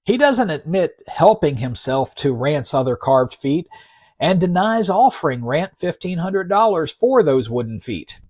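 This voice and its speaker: A man hosting a podcast, narrating in a folksy, wry and measured way, reading out quotes with deliberate emphasis. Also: a little high, very animated, a little mellow, slightly rough, dry recording. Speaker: podcast host. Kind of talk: narrated podcast storytelling with read-out article quotes.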